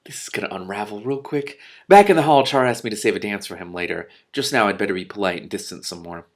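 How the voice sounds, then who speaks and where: A man's voice: fairly low pitch, fast talker. A man reading a story aloud expressively, dropping in his own dramatic, spontaneous reactions as he goes. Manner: dramatic, reacts in whispers and exclamations. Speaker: host reading a novel aloud with commentary.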